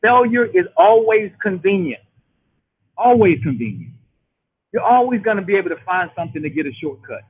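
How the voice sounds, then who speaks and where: A man's voice: high-pitched, big pitch swings, moderate pace, husky. A man in his late forties hosting a motivational talk show, preaching with emphatic repetition over a phone line. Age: 40s. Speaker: show host. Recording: tinny phone-line sound.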